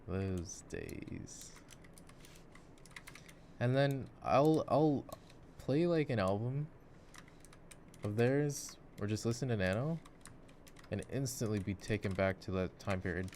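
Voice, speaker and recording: A man chatting reflectively and intimately in an ASMR gameplay style, thinking out loud. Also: on the low side, lively intonation, slow pace, pauses often. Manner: reflective, musing. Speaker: ASMR voice actor playing games. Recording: clicking mouse and keyboard noise. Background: mouse clicks and keyboard typing.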